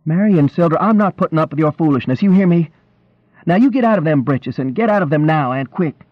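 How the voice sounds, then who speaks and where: A man's voice: fairly high pitch, expressive, fairly quick, voice pushed up. A man voicing a small, angry, worried country wife who scolds in an exasperated rustic dialect. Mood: angry scolding. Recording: roomy, dull lo-fi reading.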